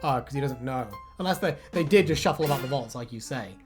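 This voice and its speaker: A woman co-hosting a casual chat about fan theories, animated, giggly and swearing freely. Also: very deep voice, quick pace, soft background music. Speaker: video co-host.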